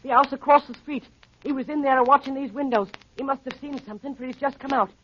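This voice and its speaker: A performer playing an eager, excited, respectful young errand boy in a 1930s radio drama. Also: high voice, fast. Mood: excited, urgent. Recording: muffled, crackly 1930s radio broadcast.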